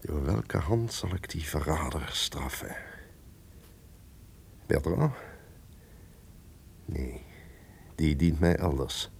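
An actor playing a powerful, vengeful, scheming duke in theatrical radio drama, with declamatory, archaic formal diction. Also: male voice, a bass voice, animated, takes it slowly, gravelly, pauses often, a little hiss or hum, exaggerated voice. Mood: scornful, brooding.

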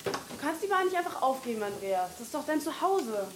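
A woman's voice: high voice, very animated, a little fast, roomy sound, heavy background noise. An actress in her twenties playing a defiant, evasive young woman in a naturalistic radio drama. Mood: anxious, pleading. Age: 20s.

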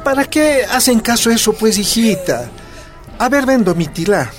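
A man's voice: high-pitched, sing-song, moderate pace, music in the background. An actor playing a loving, stubborn Bolivian union man and father, reasoning tenderly in a radio soap opera. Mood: tender, reassuring.

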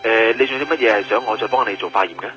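A man's voice: lowish voice, racing, fairly bright tone, soft background music, close to an everyday voice. A man with a Hong Kong accent playing a matter-of-fact, helpful forensic examiner in a radio drama. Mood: businesslike. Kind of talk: Cantonese radio drama acting.

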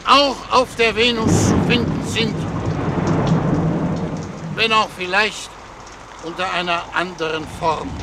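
An actor playing a pompous foreign minister who speaks in halting, ceremonious phrases in a 1950s German radio drama. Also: male voice, high-pitched, fairly even intonation. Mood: solemn, pompous. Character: pompous male foreign minister, a stately diplomat. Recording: loud storm noise, old radio play.

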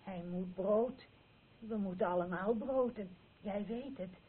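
Older obsessive mother, played by an actress: slow, plaintive, incantatory radio drama delivery, tinny hissy recording. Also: medium pitch, lightly acted. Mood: haunted, insistent. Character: obsessive mother, insistently and plaintively craving bread. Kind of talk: radio play acting.

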